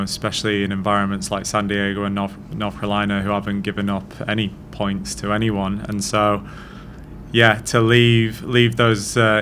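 Low, flat-voiced coach at a press conference. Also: male voice, a little hiss or hum. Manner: measured, thoughtful, frequent restarts. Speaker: head coach. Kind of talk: post-match press conference answers. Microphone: desk mic on stand.

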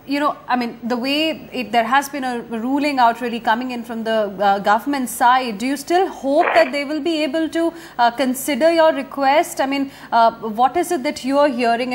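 A woman anchoring business news from a TV studio, probing a guest and rephrasing her questions. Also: high voice.

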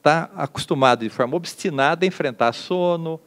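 A middle-aged Brazilian man giving a conference lecture at a podium microphone in an auditorium, measured and dotted with fillers and tag questions. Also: fairly high pitch. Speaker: lecturer at a medical forum.

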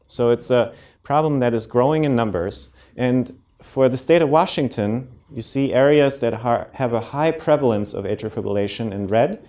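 A man's voice: mid-range voice, moderate pace, few pauses, a little mellow. German-accented man giving a medical talk. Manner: friendly, plain explanations with many ums and restarts. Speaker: cardiologist giving a public health talk. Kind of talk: informal lecture to a community audience. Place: lecture room before a seated audience. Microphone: handheld and podium microphone.

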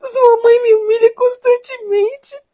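Casting: actress as crying Brazilian wife, extremely high voice, plaintive, reproachful, domestic drama. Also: female voice, moderate pace. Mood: tearful, reproachful. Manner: plaintive, reproachful, repeating herself. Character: tearful, long-suffering wife pleading with her passive husband.

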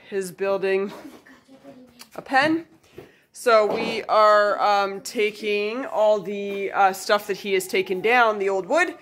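A woman's voice: mid-range voice, a bit bright, slight background noise. A woman in her thirties chatting casually as she films her farm chores in a large wooden barn, with many fillers. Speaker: farm vlogger. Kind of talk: casual vlog narration. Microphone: phone at arm's length.

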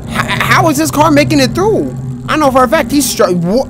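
A young man commentating a horror game in a loud, excitable, trash-talking way, his voice going hoarse, recorded on a headset mic at a home setup. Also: extremely high pitch, normal speed, noisy background. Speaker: gaming streamer.

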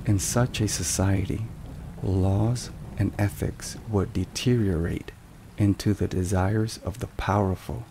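Very slow, low-voiced man over rain. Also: creaky, noisy background. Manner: slow, deliberate, measured phrasing. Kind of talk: ASMR scripted narration, religious reflection. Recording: steady rain and thunderstorm sounds behind. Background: rain and thunder.

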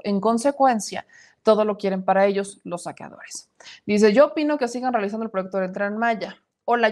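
A woman's voice: medium pitch, darkish tone. Woman in her 30s, live-stream news host, emphatic commentary on viewer comments, small home studio. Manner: emphatic, reads comments aloud and adds opinions.

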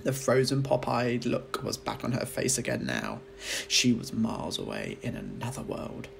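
A man gives an expressive storybook reading in the manner of a teacher. His mid-pitched voice is very animated and has a gravelly creak. A faint noise sits behind it. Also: moderate pace.

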